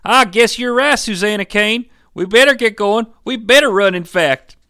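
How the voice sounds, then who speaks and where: A man's voice: sits high, moderate pace, bright, forceful tone, clean tone, dry recording, studio quality, pitched above the performer's own voice. A man voicing a brash, blustering father in a storybook, folksy, bossy and exclamatory. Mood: grudging.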